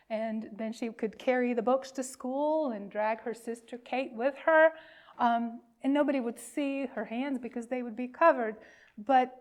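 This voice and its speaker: A woman minister reading and telling a children's story at a worship service, phrasing deliberately with pauses. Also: sits high.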